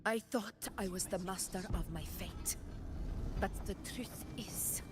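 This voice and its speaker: A woman's voice: mid-range voice, slow pace, lightly acted. A woman playing a grave, brooding warrior ruler haunted by whispers, in a solemn dramatic monologue for a game. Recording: loud background music under the voice.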